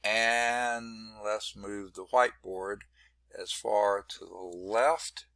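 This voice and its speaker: A hoarse, congested man teaching a math class over a video call, narrating his screen setup in a rambling, self-deprecating way. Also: on the low side, fairly even intonation, extremely slow, fairly bright tone, dry recording. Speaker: math instructor. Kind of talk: online class lecture. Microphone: computer mic on a video call.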